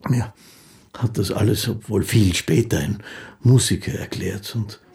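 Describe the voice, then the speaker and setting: An actor playing a poor, gentle, devout old fiddler who recalls his life in a halting, reverent monologue for a radio play. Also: on the low side, creaky. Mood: rapt, reverent.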